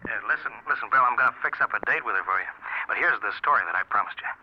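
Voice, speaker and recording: An actor playing an eager, breezy newspaper reporter with slangy, excitable delivery in a 1940s radio drama. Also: male voice, medium pitch, very fast, lightly acted. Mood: confiding, urgent. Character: eager, smitten male reporter sidekick. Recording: muffled 1940s radio recording.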